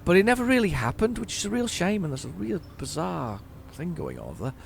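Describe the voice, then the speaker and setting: A hoarse man giving casual, rambling commentary while playing a driving game at home, often stammering and repeating words as he chats to himself. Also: sits high, big pitch swings, fairly quick, a little hiss or hum. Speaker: gaming YouTuber.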